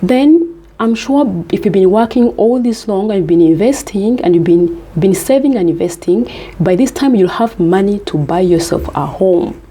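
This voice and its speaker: A woman's voice: medium pitch, mellow, slightly rough. A woman from Uganda, giving earnest, emphatic life advice to camera and repeating her key points.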